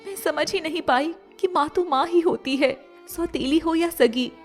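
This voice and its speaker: Woman voicing a high-pitched emotive young wife. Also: normal speed, faint music behind. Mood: pleading. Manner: earnest, emotive. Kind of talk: melodramatic animated moral story dialogue.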